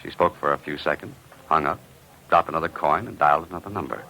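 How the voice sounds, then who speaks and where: A man's voice: rumbling low, quick pace, bright, forceful tone, heavily acted, rough recording. A man playing a cool, dryly sly investigator who narrates his own adventure in a 1950s radio mystery. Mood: wry, measured. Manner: dry, playfully sly, clipped. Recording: vintage radio recording with music.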